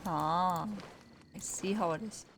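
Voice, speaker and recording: A woman's voice: fairly low pitch, slow pace, loud music behind the voice. A woman streamer giving casual commentary while playing a video game.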